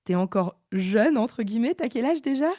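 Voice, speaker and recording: A woman's voice: a little high, expressive, normal speed, warm tone, no echo, studio quality. A woman in her thirties hosting a podcast, enthusiastic and chatty. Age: 30s. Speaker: podcast host. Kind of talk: casual interview chat.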